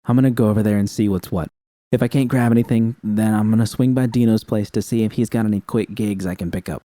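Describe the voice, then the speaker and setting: A man's voice: on the low side, fairly even intonation, mellow, dry recording, lightly acted. A man voicing a blunt, swearing woman mercenary. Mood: curt. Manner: curt and swearing, quick. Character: tough, blunt woman mercenary, short-tempered.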